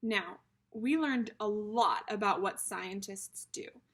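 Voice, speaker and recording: A woman's voice: medium pitch, takes it slowly, slight background noise. A woman teacher reading a picture book aloud to young children, explaining things simply and speaking to them directly as friends.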